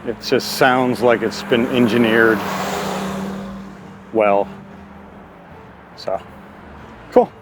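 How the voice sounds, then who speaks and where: A man's voice: mid-range voice, steady tone, extremely slow, long pauses, noisy background. A man hosting a casual walking vlog outdoors, talking in an informal, rambling, stop-and-start way. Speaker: host of his own vlog. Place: outdoors on a walk by a road.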